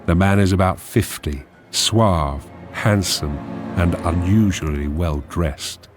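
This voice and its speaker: An actor as a measured, deliberate narrator reading screen directions in a radio drama. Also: male voice, low-pitched. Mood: measured, suspenseful. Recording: music behind the narration.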